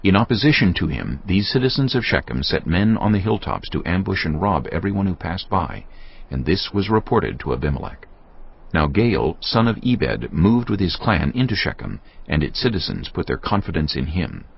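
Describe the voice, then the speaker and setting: A man's voice: low voice, sing-song, normal speed, creaky, husky. A man narrating a dramatised audio Bible in a solemn storytelling manner. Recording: muffled, band-limited audio with music. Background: music bed.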